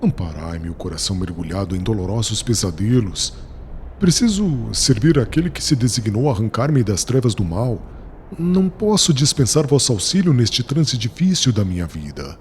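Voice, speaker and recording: Casting: a man playing a pleading young disciple in an audiobook, expressive delivery in a long-echo hall. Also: lowish voice, normal speed, a little hiss or hum. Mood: anguished pleading. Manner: pleading, emotional. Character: young male disciple, anguished and earnest. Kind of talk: audiobook character reading.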